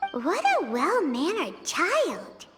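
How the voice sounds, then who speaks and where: A woman voicing a tiny, excitable, childlike floating fairy companion in a video game, chirping and exclaiming. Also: sits high, slow, music in the background, exaggerated voice. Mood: admiring. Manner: refers to self in third person, exclamatory. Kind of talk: video game character voice acting.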